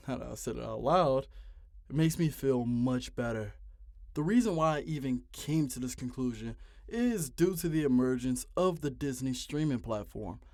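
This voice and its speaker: A man's voice: mid-range voice, darkish tone, dry recording, clean studio sound. A man in his twenties narrating a ranting video essay, exasperated and profane, with heavy emphasis. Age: 20s.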